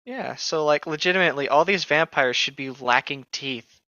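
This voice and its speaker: A man's voice: fairly high pitch, normal speed, sharp tone, dry recording. A man co-hosting a joking book read-along, sarcastic, mock-serious and freely profane, on a slightly lo-fi mic. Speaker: podcast co-host reading a novel aloud.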